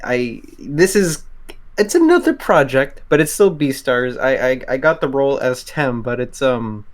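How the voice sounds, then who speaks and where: A man in a casual podcast chat, talking haltingly and repeating words as he gets his sentences going. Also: mid-range voice, very animated. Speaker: podcast co-host, voice actor.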